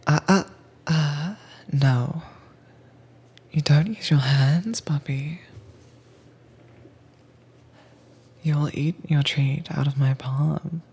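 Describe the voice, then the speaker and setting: A woman playing a dominant, teasing mistress in an intimate roleplay audio, soft-spoken and coaxing but commanding, with a breathy, whispery voice. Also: low voice, steady tone, slow, heavily acted. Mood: firm, teasing. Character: dominant, teasing mistress training her werewolf pet.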